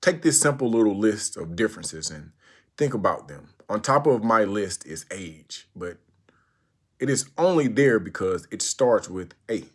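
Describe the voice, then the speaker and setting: A man with a fairly low voice reads a formal speech aloud. His delivery is deliberate and oratorical, stressing phrases and leaving pauses between them. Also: lively intonation. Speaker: narrator reading a historical speech aloud. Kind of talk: recited speech read aloud.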